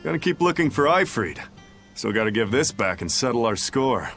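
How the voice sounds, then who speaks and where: An actor playing a cocky, gruff drifter fighter with brash, slangy swagger in a video game. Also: male voice, mid-range voice, very animated, normal speed, fairly bright tone, soft background music. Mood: cocky, firm.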